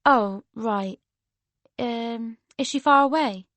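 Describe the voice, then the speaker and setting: Woman playing a hesitant teenage girl, highish. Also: fairly bright tone, no echo. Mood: nervous, hesitant. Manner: hesitant, halting. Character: earnest, nervous British teenage girl. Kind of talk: character dialogue in an audiobook.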